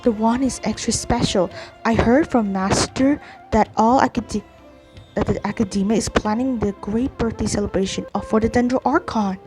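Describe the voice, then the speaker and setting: A woman gaming streamer reading dialogue aloud during a playthrough, halting and stumbling, often repeating words. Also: a little high, a little mellow. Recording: game music behind the voice. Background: video game music.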